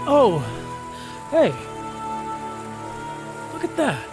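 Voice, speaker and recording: An actor playing an earnest young noble hero in a fantasy audio drama, measured and expressive. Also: male voice, extremely high pitch, over music, full character voice. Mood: intrigued.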